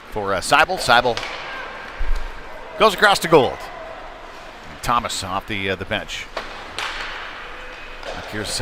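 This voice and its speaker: Man calling live hockey over rink noise. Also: mid-range voice. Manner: clipped running phrases, excited on big plays. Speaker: play-by-play hockey commentator. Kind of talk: live sports commentary. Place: indoor ice rink during a game. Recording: clear rink noise behind the voice.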